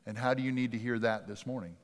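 Pastor preaching in a reverberant sanctuary. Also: male voice, mid-range voice, fast talker. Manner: conversational preaching that swings from loud to hushed. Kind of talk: sermon. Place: church sanctuary. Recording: long reverb of a church sanctuary.

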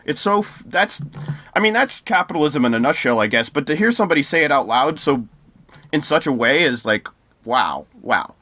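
A man's voice: a little high, sing-song, normal speed, pushed and bright. A man in casual podcast chat, ranting with sarcasm and many restarts. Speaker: podcast co-host.

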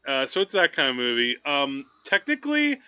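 A man co-hosting a movie podcast, talking casually in a halting, digressive, amused way. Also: mid-range voice, wildly swooping pitch, smooth, dry recording. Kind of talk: casual film review chat. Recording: slightly dull, lo-fi podcast audio.

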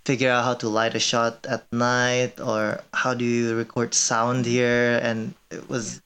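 A man's voice: mid-range voice, completely flat delivery, unhurried, fairly bright tone, clear, resonant tone. A man in his twenties from Indonesia, answering casually and tentatively as a student filmmaker in an interview. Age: 20s.

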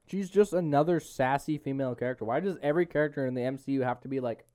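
A man's voice: medium pitch, quick pace, warm tone, clear, resonant tone, dry recording. A young man hosting a podcast, chatting casually about a movie in slangy, opinionated, teasing talk. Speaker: podcast host. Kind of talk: casual movie review chat.

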